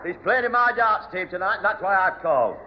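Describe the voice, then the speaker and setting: High-pitched irascible northern neighbour. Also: male voice, expressive, fairly quick. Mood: exasperated. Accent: northern English. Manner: blustering, exasperated. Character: irascible working-class darts-team neighbour, grown man. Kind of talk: 1970 radio sitcom acting. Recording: muffled, hissy old radio hall recording.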